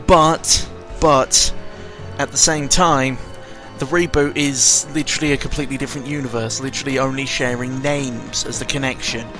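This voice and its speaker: British guy rambling about games, kinda sarcastic and sweary, middling pitch, music clearly playing behind him. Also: steady tone, moderate pace. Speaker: video game commentator. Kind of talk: casual unscripted rambling commentary. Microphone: close microphone. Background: video game music.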